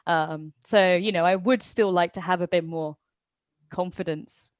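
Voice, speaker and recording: A woman with a slightly low voice, recorded close and dry, chats casually as a host. She sounds self-deprecating and often softens what she says with hedges. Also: steady tone, measured pace, no echo. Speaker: webinar host, gaming community organiser. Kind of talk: casual personal chat.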